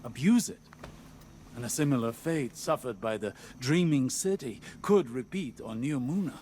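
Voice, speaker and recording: A man's voice: medium pitch, animated, moderate pace, a little mellow, a little hiss or hum, lightly acted. An actor voicing a wary, analytical male scholar in a video game, with careful, precise speech. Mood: cautious.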